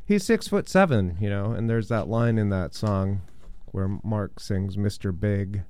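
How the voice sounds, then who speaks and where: A man hosting a radio show and chatting casually between records, his voice deep and congested from a cold, rambling a little with fillers. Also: measured pace, darkish tone, smooth. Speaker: radio DJ host.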